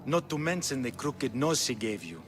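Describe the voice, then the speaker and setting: A man's voice: mid-range voice, sing-song, normal speed, a bit bright, soft background music. An actor playing a young, calculating Spartan king in a video game, wry and formal. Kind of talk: dramatic video game acting.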